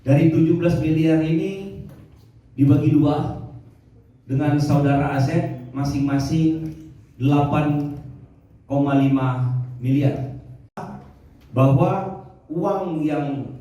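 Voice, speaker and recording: A man giving a formal press statement as an official, in halting, measured short phrases, recorded in a very echoey room from a distant microphone. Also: fairly high pitch, steady tone, mellow. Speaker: prosecution official briefing the press.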